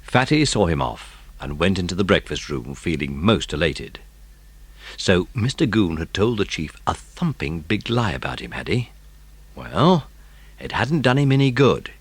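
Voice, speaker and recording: A man's voice: sits low, very animated, normal speed, husky, no room sound. A man with a British accent narrating a children's story in a scripted audiobook style.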